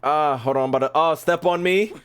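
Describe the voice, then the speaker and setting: A man doing casual, slangy gameplay commentary, expressive and given to mock-indignant rants. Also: fairly high pitch, normal speed, clean tone. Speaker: streamer playing and reacting to a video game.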